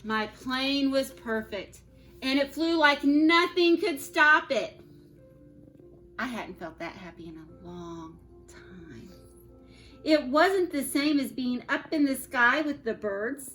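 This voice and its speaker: Bright-voiced teacher reading a storybook aloud. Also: female voice, high-pitched, slow pace, soft background music. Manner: expressive reading with soft asides to children. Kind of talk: reading a picture book aloud to her class. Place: at home.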